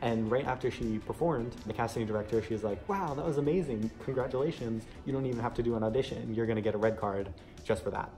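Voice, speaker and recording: A man's voice: medium pitch, fast, over music. A young American man telling a story casually to camera in a chatty, conversational way. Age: young adult. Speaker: vlogger sharing an audition experience.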